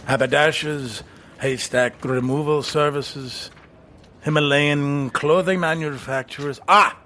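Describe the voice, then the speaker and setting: A secretive, curt assassin for an audio drama. The delivery is slow and deliberate, with halts between clipped phrases. Faint noise sits behind the voice. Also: male voice, a little high, fairly even intonation, bright, forceful tone. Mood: guarded, clipped. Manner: halting, terse, deliberate.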